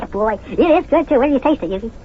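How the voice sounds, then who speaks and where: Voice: high-pitched, expressive, fast talker, slight background noise. An actor voicing an eager, chatty little boy elf with a chirpy, polite manner in an old children's radio serial. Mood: cheerful. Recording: muffled, lo-fi old radio recording.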